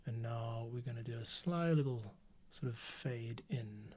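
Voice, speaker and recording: A man's voice: mid-range voice, a little slow, a bit bright. A man talking casually to himself while he edits a podcast, often dropping to a half-whisper. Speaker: podcast editor. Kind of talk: casual screen-recording commentary while editing. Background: music playing and keyboard typing.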